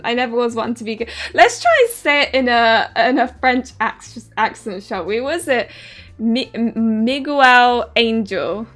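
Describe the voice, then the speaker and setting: A woman's voice: on the high side, bright, forceful tone. A woman with a southern English accent casually commenting on a game stream and reading its text aloud, laughing often and tripping over names. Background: faint game music.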